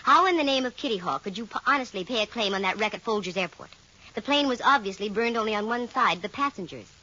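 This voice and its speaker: A woman playing a brisk, sharp-witted private detective, acting and narrating in the style of 1940s radio. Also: medium pitch, expressive. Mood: blunt, challenging. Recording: muffled vintage radio recording. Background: music.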